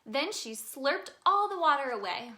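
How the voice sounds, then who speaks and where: A woman reading a children's story aloud in an expressive, sing-song way for young listeners. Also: sits high, measured pace. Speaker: children's storybook reader, in a tooth fairy persona.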